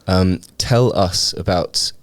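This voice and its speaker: A man's voice: sits low, slow, dry recording. A man hosting a studio video podcast interview, with a dry, teasing sense of humor. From Hong Kong. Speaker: podcast host. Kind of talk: casual interview.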